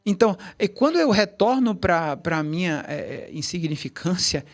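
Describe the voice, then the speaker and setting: A man's voice: high-pitched, big pitch swings, normal speed. A middle-aged man, a tai chi teacher, telling long rambling stories in a casual interview, with many restarts and asides. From Brazil, Bahia.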